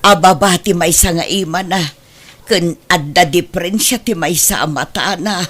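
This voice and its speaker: A woman's voice: lowish voice, moderate pace, a bit bright. A woman playing a concerned, plain-spoken older village mother in an audio drama, with an Ilocano Filipino accent. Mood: troubled. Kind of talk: melodramatic radio-style drama acting.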